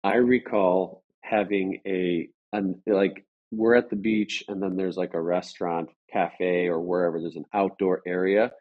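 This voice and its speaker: A man with an American accent and a fairly low voice talks as a guest in a casual interview. He rambles and stops and starts as he tells his story. The audio is somewhat dull and lo-fi. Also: fairly even intonation. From Chicago, United States. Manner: halting, rambling, frequent "like" and "you know".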